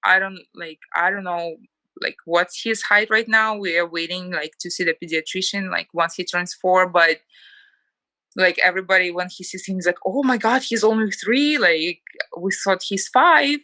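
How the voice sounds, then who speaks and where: A woman's voice: on the low side, moderate pace, pushed and bright, dry recording, poor audio. A woman in her thirties from Ukraine with a Ukrainian accent, chatting as an interview guest with lots of "like" fillers. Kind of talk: casual interview chat.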